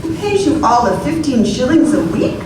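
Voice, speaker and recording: An actress playing a sharp-tongued, indignant mother in a live radio play, speaking with scorn and sarcasm. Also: high voice, very animated, moderate pace, far from the mic, background noise. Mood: scornful. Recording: echoey live stage from afar.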